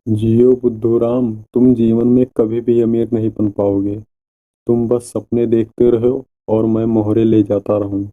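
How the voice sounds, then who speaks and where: A man's voice: lowish voice, little pitch movement, measured pace, narrow, tinny audio, lightly acted. A man voicing a greedy, mocking, scheming villager in a cartoon moral story, with a taunting, exclamatory delivery. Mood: scheming, gloating.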